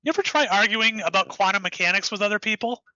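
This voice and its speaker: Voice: medium pitch, expressive, quick pace, pushed and bright, dry recording, muffled recording. An actor playing an enthusiastic, rambling writer who created the hero, in an audio drama. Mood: excited. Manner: enthusiastic, rambling, self-interrupting.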